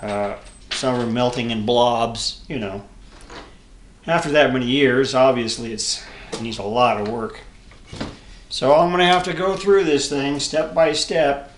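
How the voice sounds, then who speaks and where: A man in his seventies giving a casual, unscripted hobby show-and-tell, halting and rambling as he thinks aloud. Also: mid-range voice. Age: 70s. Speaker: hobbyist vlogger showing vintage electronics. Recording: echoey room with clear background noise and clicks.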